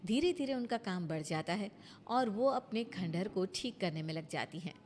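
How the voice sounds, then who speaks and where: Female narrator for scripted stories, medium pitch, slightly noisy recording. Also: animated, moderate pace, darkish tone. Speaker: storyteller narrator.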